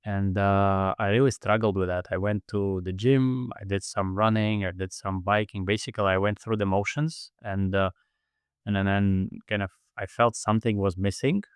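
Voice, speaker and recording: A man with a low, smooth voice and a flat, even delivery, recorded close and dry. He talks reflectively in an interview, pausing and restarting as he searches for words. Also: no echo. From Latvia. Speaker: podcast guest, endurance athlete and heart rate expert.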